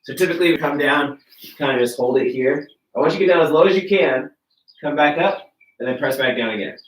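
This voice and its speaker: A man's voice: fairly high pitch, fast, roomy sound. A man with a blocked nose talks through stretches in an easy, friendly coaching voice. He sounds distant from the camera mic, and his voice bounces around a bare garage gym. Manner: relaxed, friendly coaching while demonstrating stretches on the floor. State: congested, stuffy nose. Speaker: fitness coach. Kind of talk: live-streamed workout instruction. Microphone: camera mic from across the room.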